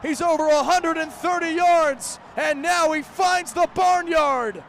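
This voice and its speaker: A young man calling live college football play-by-play for radio in crisp, clipped descriptive phrases. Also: very high voice, a little slow, fairly bright tone. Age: young adult. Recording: outdoor stadium broadcast with background noise.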